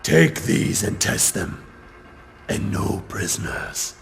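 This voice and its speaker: An actor playing a grandiose vampire overlord, a commanding and contemptuous tyrant in a video game. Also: male voice, sing-song, slow, vocal fry, exaggerated voice. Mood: imperious, contemptuous. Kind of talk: video game villain acting. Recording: heavy rumbling noise behind.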